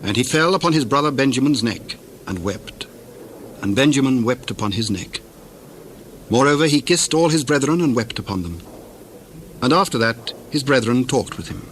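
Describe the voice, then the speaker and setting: A man's voice: mid-range voice, expressive, moderate pace. A man narrating scripture from a script in a solemn, formal manner. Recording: noisy old dramatized audio recording.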